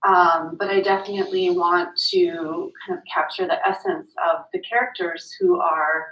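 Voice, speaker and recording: A woman writer answering a panel question in a soft, hesitant way with frequent ums. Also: mid-range voice, sing-song, normal speed, vocal fry. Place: event room at a literary gathering. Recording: roomy, distant, dull-sounding mic.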